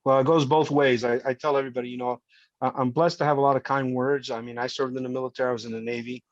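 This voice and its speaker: A man's voice: medium pitch, flat delivery, fast talker, no echo. Earnest man in his fifties, dull dry audio. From Colombia, raised in New Jersey. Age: 50s. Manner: earnest, heartfelt, patriotic. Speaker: podcast guest. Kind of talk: casual interview storytelling.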